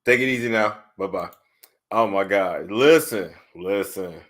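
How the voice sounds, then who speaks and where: A man's voice: fairly low pitch, a little slow, fairly bright tone. Slangy, laughing call-in show host man. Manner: loose slangy banter, laughs easily. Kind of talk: casual call-in chat.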